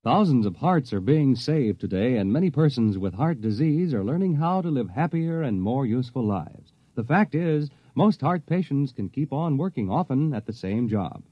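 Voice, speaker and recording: Lively vintage male public service announcer. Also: mid-range voice, normal speed, few pauses, dry recording. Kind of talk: 1950s radio scripted announcement. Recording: dull, dry vintage radio recording.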